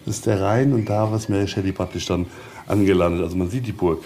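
A man with a low, mellow voice talks as a tour guide. He explains informally, step by step, pausing as he goes. There is faint location noise around him. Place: on location in an old castle tower.

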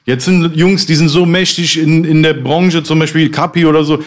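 A man's voice: a little high, level tone, normal speed. A man in his thirties, a rapper, talking through a topic in a hesitant, reflective way with many restarts. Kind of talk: casual conversation.

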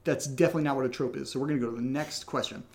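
A man's voice: mid-range voice, fairly even intonation, rapid-fire, gravelly. A man co-hosting a podcast, reading out questions and chatting casually in a teasing, self-deprecating way. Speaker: co-host of an audio drama podcast reading listener questions. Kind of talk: casual unscripted Q&A chat.

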